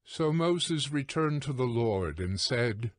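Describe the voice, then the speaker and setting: A man narrating scripture from a script, solemn and deliberate, as in an audio Bible. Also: mid-range voice, expressive, no echo, studio quality. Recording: music behind the voice.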